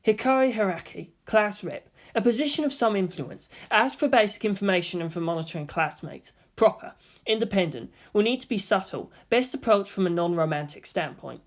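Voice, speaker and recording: A woman's voice: medium pitch, expressive, brisk, close to an everyday voice. Woman reading as a serious, reserved teenage boy. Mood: calculating. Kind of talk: fan fiction character reading.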